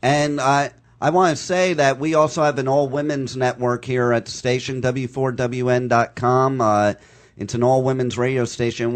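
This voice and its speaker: A man hosting a talk radio show, talking in a loose, upbeat way with many fillers. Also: mid-range voice.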